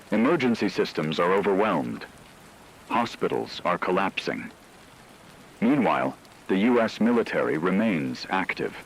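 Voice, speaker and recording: A man playing a solemn radio anchor, reading a scripted emergency war bulletin in a measured, formal way. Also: low voice, a bit bright, full character voice, rough recording. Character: grave male radio anchor reporting a world war. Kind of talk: scripted emergency news broadcast.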